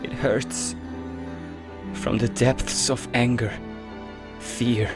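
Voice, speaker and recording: A shy, earnest young anime hero played by a man speaks slowly and hesitantly, as if turned inward. Loud music plays underneath the voice. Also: medium pitch, little pitch movement. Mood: fearful, brooding. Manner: hesitant, introspective. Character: gentle, timid, earnest young boy who feels powerless. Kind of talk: anime-style dramatic dub acting.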